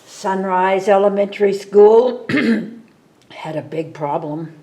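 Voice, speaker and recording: A woman reading a picture book aloud at a library story time, expressive, with explanatory asides to children. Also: medium pitch, slow pace.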